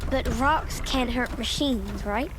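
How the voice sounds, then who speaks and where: A woman's voice: fairly high pitch, slow pace, noisy background. A female performer playing a curious, bold and stubborn little girl with an earnest, questioning manner in a video game cutscene. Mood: puzzled. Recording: loud music under the voice.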